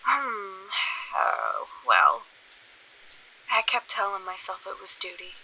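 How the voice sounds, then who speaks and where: A woman playing a weary, pragmatic confidante in an audio drama, speaking frankly and with measured pauses. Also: medium pitch, huge pitch swings, creaky, bright, forceful tone, exaggerated voice, poor audio. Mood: resigned, frank. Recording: faint hiss.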